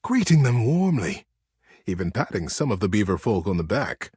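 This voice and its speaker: A man playing a gentle, soothing teddy bear who narrates bedtime stories expressively in a game. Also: on the low side, sing-song, moderate pace, husky, no room sound, clean studio sound. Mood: storytelling.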